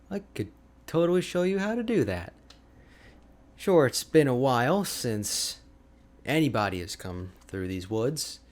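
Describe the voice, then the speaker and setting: A man playing a slow-paced, boastful ice mage. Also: fairly low pitch. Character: energetic, boastful, chatty male ice mage hermit. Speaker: audio roleplay voice actor. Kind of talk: ASMR character roleplay.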